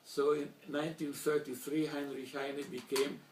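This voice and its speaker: Older man from Slovakia, German his first language, deliberate formal speaker, slightly high voice, recorded from afar in an echoey hall. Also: flat delivery, a little mellow, far from the mic, slight background noise. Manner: measured, deliberate, with frequent pauses and restarts. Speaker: speaker recounting childhood memories. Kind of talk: formal talk.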